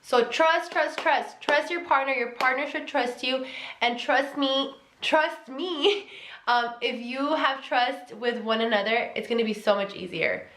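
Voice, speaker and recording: A woman's voice: high voice, unhurried, a bit bright. A young woman with a Mexican accent giving relationship advice in a casual vlog, earnest and emphatic, repeating key words. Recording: echoey room.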